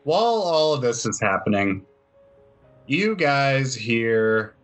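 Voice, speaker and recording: A man's voice: mid-range voice, big pitch swings, slow pace, fairly bright tone. A man working as game master, narrating a tabletop roleplaying game in a casual, conversational way. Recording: music behind the voice.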